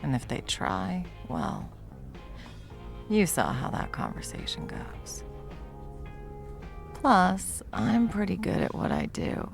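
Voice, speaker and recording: Woman playing flirty protective bartender, ASMR roleplay, slow unhurried pace, swooping intonation, intimate, teasing. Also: on the low side, huge pitch swings, creaky, faint music behind, heavily acted. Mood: playful, teasing. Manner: teasing, unhurried, soft chuckles, dropping toward whispers. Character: confident, flirtatious, protective hot female bartender. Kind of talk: ASMR roleplay, intimate one-sided conversation.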